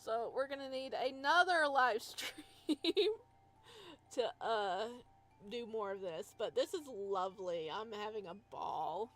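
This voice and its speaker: Female game streamer, higher-pitched voice, very unhurried, playful and giggly, casual rambling chat. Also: animated, fairly bright tone, soft background music. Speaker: live streamer playing a visual novel.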